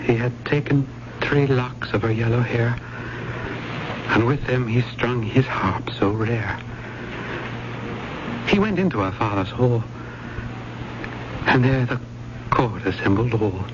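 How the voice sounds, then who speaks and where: An actor playing an obsessed, volatile college professor in old-time radio drama, erudite and courteous but halting when cornered. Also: male voice, medium pitch, expressive. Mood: haunted recitation. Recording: noisy, dull old radio drama recording.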